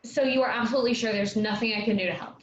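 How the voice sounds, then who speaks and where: A woman's voice: fairly high pitch, racing, close to an everyday voice. A woman playing an eager young girl who is keen to help and belong, in a staged play reading. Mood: eager, persistent. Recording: roomy, noisy, muffled sound.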